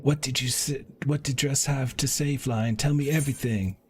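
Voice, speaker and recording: A man voicing an excitable, proud wine connoisseur from a fantasy game, reading the lines with emphatic, theatrical delivery. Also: mid-range voice, normal speed, a little hiss or hum, voice pushed up, natural voice. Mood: excited. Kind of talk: reading game dialogue aloud.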